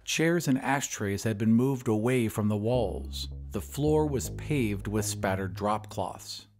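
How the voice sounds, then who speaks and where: A man hosting a podcast and narrating an audio drama in a measured, expressive way. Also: on the low side. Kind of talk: scripted storytelling narration.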